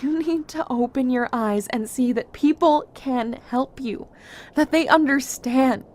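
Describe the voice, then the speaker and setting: A high female voice, slow and gentle, an actress playing a caring woman in an audio drama. She speaks with earnest concern. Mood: earnest pleading. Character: caring, earnest woman pleading with troubled friend.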